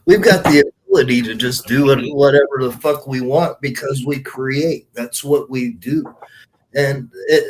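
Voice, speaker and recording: A man's voice: mid-range voice, a little hiss or hum, low-quality recording. A man hosting a podcast in a casual, heartfelt way, swearing freely and talking to listeners like friends. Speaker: podcast host. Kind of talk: casual heartfelt monologue and chat.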